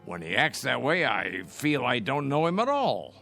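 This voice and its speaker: An actor playing a worried, stubbornly practical old man in a radio drama, with a creaky voice and a fretful, scoffing manner. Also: mid-range voice, very animated, moderate pace, bright, forceful tone, faint music behind, full character voice. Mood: worried, indignant. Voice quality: creaky, gravelly.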